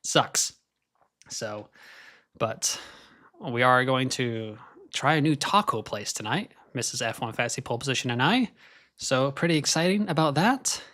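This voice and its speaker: A man's voice: mid-range voice, expressive, unhurried, sharp tone. A young man with an American accent, born in Scotland, hosting a casual live stream and chatting while he watches qualifying. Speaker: livestream host.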